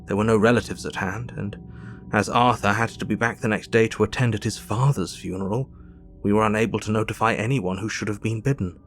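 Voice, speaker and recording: An actor with an English accent playing an earnest, grieving Victorian doctor, narrating his diary in measured, formal speech for an audio drama. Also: on the low side, fairly even intonation, soft background music, close to an everyday voice. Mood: sober, measured.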